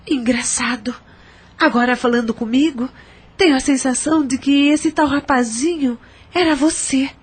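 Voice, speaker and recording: Woman acting a high-voiced, remorseful Brazilian mother. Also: normal speed, husky. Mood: troubled, remorseful. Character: troubled, remorseful adult woman, estranged mother confessing. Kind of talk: audio drama acting.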